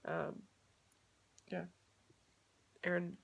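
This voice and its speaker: A woman's voice: on the low side, level tone, drawn-out words, gravelly, lo-fi sound. A young woman with a cold, sounding stuffed up, chatting casually to her vlog viewers with lots of fillers. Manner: chatty, many fillers, occasional laughs. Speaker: vlogger.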